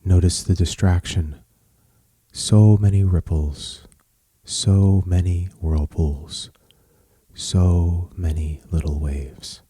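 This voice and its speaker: Very slow, deep-voiced man guiding a meditation. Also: steady tone, warm tone, husky, no room sound. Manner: measured, soothing, pausing between short phrases. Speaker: meditation guide. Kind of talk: guided meditation narration.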